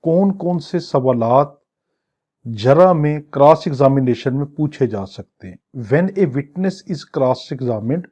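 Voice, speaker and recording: A man giving a law lecture, reading English statute lines slowly and then explaining them. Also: medium pitch, expressive, warm tone, lo-fi sound.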